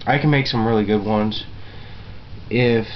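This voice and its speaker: A man chatting casually to camera as an amateur video blogger, rambling and halting, with a self-deprecating manner. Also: lowish voice, steady tone. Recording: dull lo-fi webcam-style sound, faint noise.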